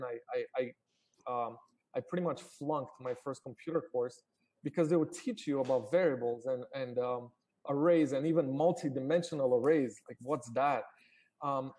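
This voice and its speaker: A man's voice: medium pitch, a little mellow, low-quality recording. A man in his forties, originally from Romania, talking casually as a startup founder guest on a live call, with many fillers and restarts.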